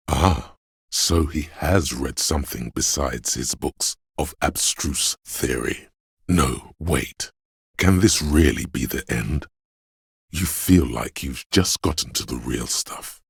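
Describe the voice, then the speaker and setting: A man playing the pretentious, wry inner voice of an art-loving detective in a game, narrating in a theatrical, deliberate, literary manner. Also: no echo, clean studio sound, full character voice. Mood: wry, haughty. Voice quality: very deep, gravelly, husky. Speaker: game narrator voicing a character's thoughts.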